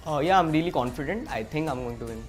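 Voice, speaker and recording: A man's voice: on the high side, lively intonation, fast talker, loud music behind the voice. A nineteen-year-old man from India, an esports player speaking eagerly and confidently in a short interview clip. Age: late teens.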